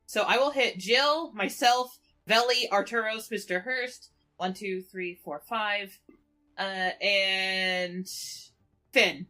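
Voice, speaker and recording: A woman's voice: mid-range voice, takes it slowly, sharp tone. A woman player in a tabletop role-playing game, casually describing her character's actions and thinking through rules.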